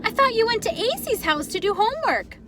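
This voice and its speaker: A woman's voice: extremely high pitch, very animated, brisk, noisy background, voice pushed up. A woman voicing a kindly, cheerful immigrant mother who exclaims emphatically in a children's storybook.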